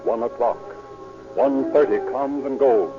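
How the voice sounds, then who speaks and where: An American man narrating an old radio crime drama in a grave, measured, suspenseful style. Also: medium pitch, close to an everyday voice. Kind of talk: dramatic scripted radio narration, present tense. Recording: muffled old radio recording, music behind.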